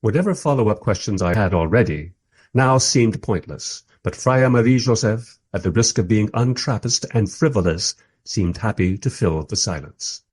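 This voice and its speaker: A man's voice: fairly low pitch, animated, normal speed, a little mellow, slightly rough. A man playing a bemused documentary interviewer who narrates a radio play in a dry, reflective, self-deprecating way. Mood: wry, reflective. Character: wry, bemused male documentary interviewer narrating his journey.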